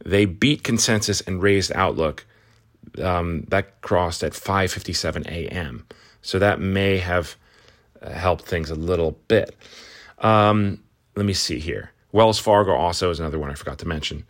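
A man hosting a casual morning market rundown, talking conversationally with frequent restarts and fillers, slightly hoarse from a cold. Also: deep voice, unhurried. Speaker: market news host.

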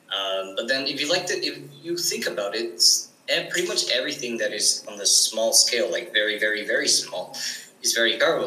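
A man's voice: medium pitch, bright, forceful tone, echoey room, rough recording. A teenage boy answering interview questions eagerly, with frequent restarts and fillers. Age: teens. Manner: eager, restarts often, many likes and ums. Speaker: guest.

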